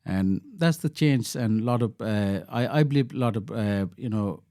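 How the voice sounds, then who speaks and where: A man from Bangladesh speaks as an interview guest, his voice warm and relaxed in tone. He talks haltingly, repeating words and filling pauses, and the sound is dry and close. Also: lowish voice, clear, resonant tone, dry recording. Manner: halting, many restarts and fillers. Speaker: local councillor, guest. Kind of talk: interview answers.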